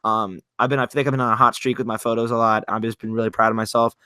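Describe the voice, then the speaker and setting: Bright-voiced chatty nineteen-year-old guy. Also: medium pitch, flat delivery, very fast, clear, resonant tone, no echo, clean studio sound. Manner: chatty, rambling with many "like"s. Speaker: podcast co-host. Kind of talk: casual chat. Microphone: home mic, talking online.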